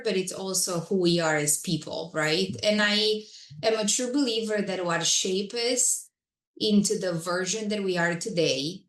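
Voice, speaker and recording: A woman's voice: on the low side, fairly bright tone, reverberant. A woman from Romania, a guest telling her career story in an interview with frequent laughs. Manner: reflective, laughs often.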